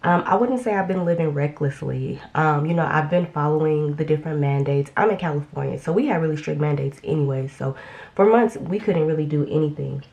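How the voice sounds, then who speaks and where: A woman's voice: sits low, brisk, a little mellow, muffled recording. A young woman chatting casually while doing her makeup at home, a little congested after being sick, rambling with lots of ums and restarts. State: slightly congested after illness. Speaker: beauty vlogger. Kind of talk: casual get-ready-with-me chat.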